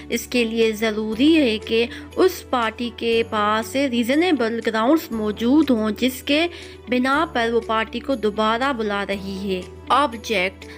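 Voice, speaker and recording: A woman law lecturer who reads out each English clause slowly and deliberately, then explains it more quickly. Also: a little high.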